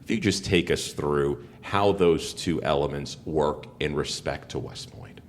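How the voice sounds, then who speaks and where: Very deep-voiced American male interviewer. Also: a little slow. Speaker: interviewer, sports broadcaster. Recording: reverberant room with faint rumbling noise.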